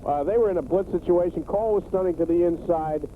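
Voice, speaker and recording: An American man with a high voice offers unscripted, opinionated football analysis in a halting, conversational way. It comes through a narrow, tinny 1980s radio signal with clear noise. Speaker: radio colour commentator.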